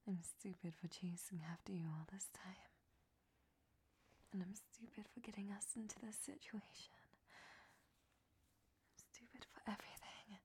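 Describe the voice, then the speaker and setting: A woman's voice: medium pitch, normal speed, long pauses, darkish tone. A woman whispering a roleplay monologue to a listener, scolding him and then turning tender and confessional.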